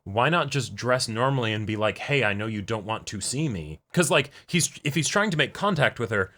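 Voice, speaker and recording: A man's voice: mid-range voice, expressive, a little fast, a bit bright. A man co-hosting a reaction show, discussing things in a casual, analytical, conversational way.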